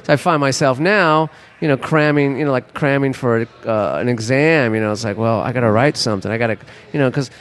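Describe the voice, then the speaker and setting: A man's voice: medium pitch, fairly quick, few pauses. A man in his fifties from Los Angeles, co-hosting a podcast in casual, rambling chat that keeps restarting its sentences. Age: 50s. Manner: rambling, self-interrupting storyteller. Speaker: podcast co-host.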